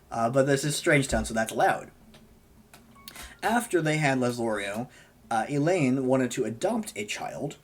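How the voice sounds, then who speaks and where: A man casually narrating his life-sim game as a gaming video host, rambling with many fillers and self-corrections, his voice hoarse and going. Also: medium pitch. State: losing his voice. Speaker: gaming YouTuber.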